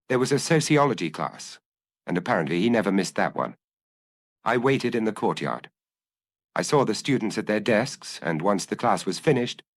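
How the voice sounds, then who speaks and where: A man's voice: fairly low pitch, no echo, clean studio sound. A man narrating a detective story as a scripted audiobook reading.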